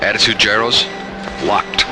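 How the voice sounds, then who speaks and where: An actor playing a businesslike spacecraft skipper, clipped and commanding, in a science fiction radio drama. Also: male voice, on the low side, flat delivery, a little slow, over loud music, lightly acted. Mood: brisk, businesslike.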